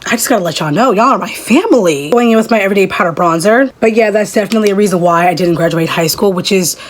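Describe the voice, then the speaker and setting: An eighteen-year-old woman chatting casually as a beauty vlogger, rambling through a makeup routine with animated bursts. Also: mid-range voice, fast talker. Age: young adult. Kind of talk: casual makeup tutorial chat.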